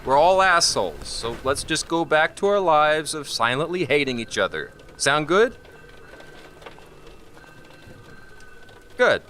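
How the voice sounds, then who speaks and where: An actor playing an anxious, protective young husband in an audio horror drama, placating and exasperated. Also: male voice, a little high, big pitch swings, normal speed, sharp tone, a little hiss or hum. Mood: angry, exasperated. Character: anxious, protective young husband soothing his panicking wife.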